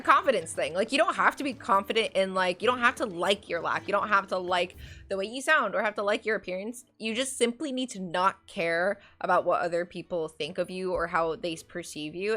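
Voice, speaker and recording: A woman's voice: fairly low pitch, bright, forceful tone. A woman streamer with a nasal voice chatting casually while playing, rambling with lots of fillers. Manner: rambling, many fillers, cackling laugh. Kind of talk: casual chat while gaming.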